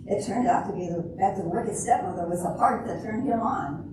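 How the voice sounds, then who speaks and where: A woman's voice: medium pitch, brisk, lightly acted. An older woman playing a disillusioned, wry Cinderella in an amateur stage reading, with a dry, sardonic delivery. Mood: wry, bitter. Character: jaded, wry grown-up Cinderella, disillusioned with romance. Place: echoey room at a retirement community event. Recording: very echoey room, distant mic, hissy noise.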